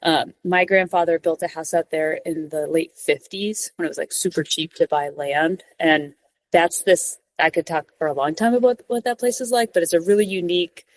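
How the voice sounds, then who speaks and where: A young woman with a lowish voice talks through her answers thoughtfully, looping back with "like" and small restarts as she searches for words. The sound is close and dry, with almost no room around it. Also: fairly even intonation, moderate pace, no room sound. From New York City. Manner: thoughtful, many "like" fillers and restarts. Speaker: podcast guest, mountain guide. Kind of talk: reflective interview answers. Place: office cubicle.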